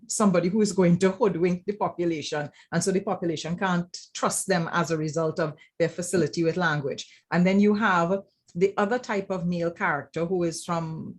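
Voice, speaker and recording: A woman with a Trinidadian accent speaking as a lecturer at an informal roundtable, explaining reflectively and often checking in with "right?". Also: lowish voice. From Trinidad and Tobago. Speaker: academic writing lecturer, panel speaker.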